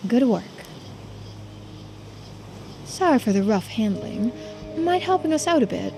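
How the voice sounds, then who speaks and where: A woman voicing a shrewd female police investigator in a mystery game, measured, polite and in charge. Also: on the high side, very animated, dark tone, soft background music. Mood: polite, probing.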